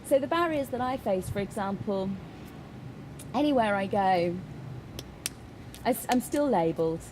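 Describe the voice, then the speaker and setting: Woman interpreting over clear background noise. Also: medium pitch, expressive, unhurried. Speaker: interpreter voicing a Deaf signer. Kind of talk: live spoken interpretation of a personal statement.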